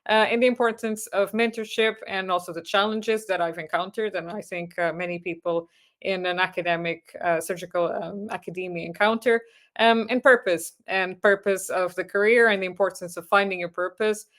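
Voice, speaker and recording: A Portuguese-accented woman in her thirties presents a slide talk to would-be surgeons. Her voice sits at an ordinary middle pitch. She speaks earnestly but haltingly, with frequent ums and repeated words. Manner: hesitant, many fillers and restarts, earnest. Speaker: neurosurgery registrar and clinical lecturer.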